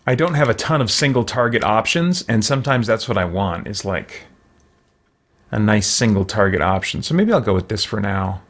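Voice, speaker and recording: A man's voice: lowish voice, lively intonation, moderate pace, fairly bright tone. A man giving casual game commentary, thinking aloud to himself in a musing, tentative way. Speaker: gamer hosting a let's play.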